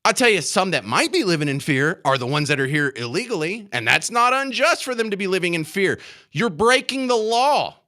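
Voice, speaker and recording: An American man hosting talk radio with a high-pitched, forceful voice that swings widely in pitch. He delivers an indignant political monologue, hammering and repeating his key phrases into a studio mic. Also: very animated, brisk. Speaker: radio talk show host.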